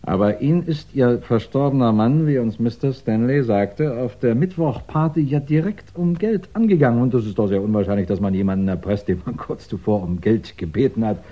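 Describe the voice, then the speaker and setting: An actor playing a courteous, probing police inspector in a 1960s radio crime drama, speaking deliberately with thoughtful pauses. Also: male voice, mid-range voice, natural voice. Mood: pondering, probing. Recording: dull, band-limited 1960s radio recording.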